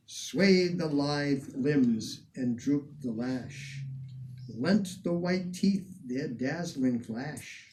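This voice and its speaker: A man reciting old poetry dramatically in a measured, declamatory way, with deliberate phrasing and emphatic swells. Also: a little high, warm tone. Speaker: reader of poetry, museum curator. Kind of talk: dramatic poetry recitation in the person of a 19th-century poet. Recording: distant microphone with some background noise.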